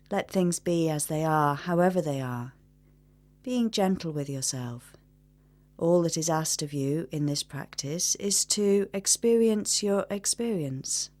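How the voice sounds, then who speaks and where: A woman leading a guided meditation in a gentle, measured manner, leaving long pauses between instructions. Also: lowish voice.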